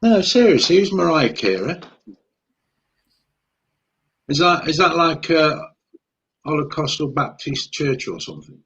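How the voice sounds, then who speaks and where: A man's voice: a little high, sing-song, unhurried, long pauses, fairly bright tone, breathy edge, lo-fi sound. A man co-hosting a live chat stream, teasing and joking while reading out comments. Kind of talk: casual live-stream banter.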